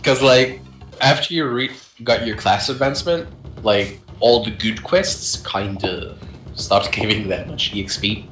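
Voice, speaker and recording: A man's voice: on the low side, unhurried, fairly bright tone, reverberant, soft background music, low-quality recording. A young man chatting casually about old online games, rambling, swearing and laughing often. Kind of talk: casual gaming chat.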